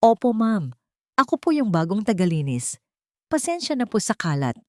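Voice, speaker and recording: A woman's voice: mid-range voice, big pitch swings, moderate pace, warm tone, no echo, clean studio sound, voice pushed up, close to an everyday voice. A woman voicing a humble, submissive old mother from the province in an audio story. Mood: meek, apologetic. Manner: submissive, apologetic.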